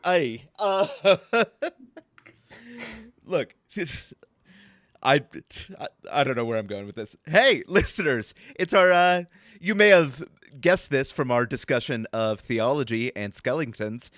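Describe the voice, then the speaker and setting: A man's voice: medium pitch, expressive, slow, a bit bright, dry recording, clean studio sound. A man co-hosting a podcast in casual chat, playful and jokey, laughing often between lines. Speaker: podcast co-host.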